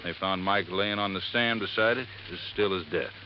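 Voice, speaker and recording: An actor playing a folksy, earnest boat-landing owner in 1950s radio drama, telling things in a plain, halting, emphatic way. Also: male voice, low-pitched, natural voice. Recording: dull, noisy vintage radio recording.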